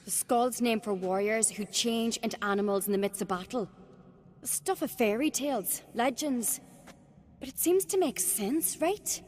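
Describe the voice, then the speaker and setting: An actress playing a bold, sharp-minded young noblewoman of a warrior clan in a fantasy video game, direct and confident. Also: a little high, moderate pace, lightly acted. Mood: thoughtful. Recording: prominent game music behind the voice.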